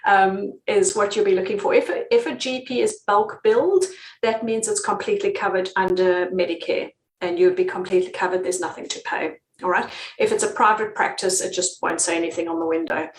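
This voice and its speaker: A woman's voice: mid-range voice, a little fast, slight background noise. Brief: British woman, relocation consultant, easygoing live-stream chat, echoey room. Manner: chatty, many ums, laughs easily. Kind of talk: live-stream advice reading viewer comments.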